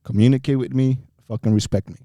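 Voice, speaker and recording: Blunt young man, dark voice. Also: mid-range voice, steady tone, fast talker, no room sound. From Mexico. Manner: blunt, swears freely, emphatic. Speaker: podcast guest. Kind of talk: casual chat.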